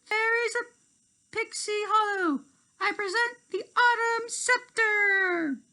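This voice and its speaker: A woman's voice: very high voice, takes it slowly, sharp tone, voice pushed up, exaggerated voice. A woman voicing an earnest, emotional young female fairy in a children's storybook, with dramatic, pleading delivery. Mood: nervous, proud. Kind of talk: children's storybook character reading.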